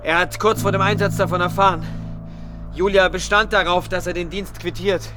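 An actor playing an insistent military investigator in a radio drama, asking formal, probing questions with urgency. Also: male voice, high voice, fairly even intonation, normal speed, over music, close to an everyday voice. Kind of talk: naturalistic German radio drama acting.

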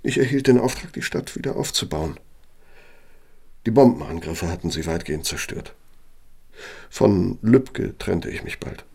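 An actor in a radio play as an aging, self-justifying architect with a Nazi past, speaking deliberately and emphatically in a gravelly, husky voice. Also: male voice, low voice, lively intonation, a little mellow, heavily acted. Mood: genial, boastful. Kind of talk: German radio play monologue and dialogue.

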